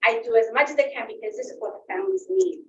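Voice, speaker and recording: A woman's voice: sits high, expressive, quick pace. Echoey, emphatic woman at a public meeting. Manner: emphatic, repeats phrases, checks with right and okay. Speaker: school staff member speaking at a public meeting. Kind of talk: impassioned bilingual public comment. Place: indoor public meeting room. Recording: echoey meeting room, distant muffled mic, faint noise.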